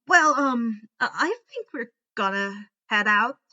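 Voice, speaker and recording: A woman voicing a huffy, exasperated teenage boy who is secretly a dark creature, in an indignant, matter-of-fact way. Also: a little high, animated, slow, highs cut off, exaggerated voice. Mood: awkward, dry.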